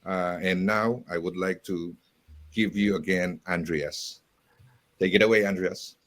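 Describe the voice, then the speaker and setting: A man's voice: low voice, fairly even intonation, measured pace, fairly bright tone. A man moderating an online panel, handing the floor to each panelist with light apologies. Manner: apologetic, light laughs between introductions.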